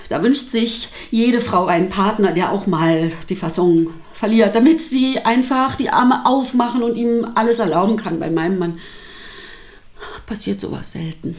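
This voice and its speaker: Actress as a defensive, deliberate wife. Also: female voice, mid-range voice, a little mellow, natural voice. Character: defensive wife recounting her husband's violent confession. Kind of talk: radio drama dialogue.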